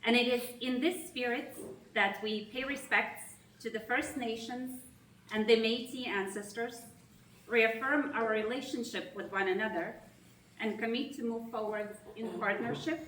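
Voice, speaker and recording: A woman with a Russian accent, an institute president giving a formal speech at a reception, measured and emphatic with pauses between phrases. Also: on the high side, a bit bright, far from the mic, slight background noise. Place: large reception hall with an audience. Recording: echoey hall, voice heard from afar.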